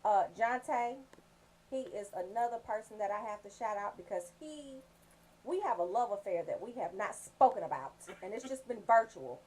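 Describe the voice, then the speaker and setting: A woman hosting a radio show, giving casual, comedic shout-outs in a sassy, joking, profane way. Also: medium pitch, expressive, moderate pace, rough recording.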